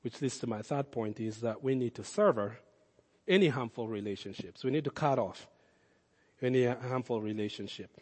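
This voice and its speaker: A man with a Kenyan accent, a pastor giving a sermon, swinging from loud, emphatic calls to low, earnest asides. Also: moderate pace, big-room sound. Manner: impassioned preaching, rising loud then dropping low and intimate.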